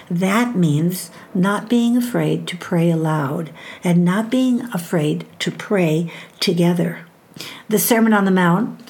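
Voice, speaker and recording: An older woman teaches on faith and parenting in a measured, emphatic voice. Her pitch sits a little low for a woman, and she slows down deliberately whenever she cites scripture. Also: a little mellow. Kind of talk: devotional teaching talk.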